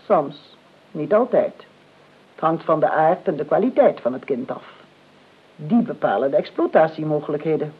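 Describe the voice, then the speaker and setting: An actress in a Dutch radio play, playing an imperious, blunt older aristocratic aunt who speaks formally and with dry restraint. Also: female voice, deep voice, normal speed, full character voice. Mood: cool, dry. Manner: formal, crisp, deadpan. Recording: dull lo-fi radio recording.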